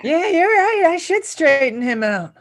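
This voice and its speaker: A man's voice: very high-pitched, very animated, measured pace, a bit bright, dry recording, full character voice. Angry obsessive male writer, acted by a man, bitter and ranting, dry table-read sound. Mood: grimly determined. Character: angry, obsessive young male failed screenwriter. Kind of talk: screenplay table read acting.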